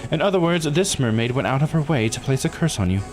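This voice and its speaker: Man playing a composed, blunt law officer, animated delivery. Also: mid-range voice, over music, natural voice. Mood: measured. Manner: measured, formal, matter-of-fact explaining.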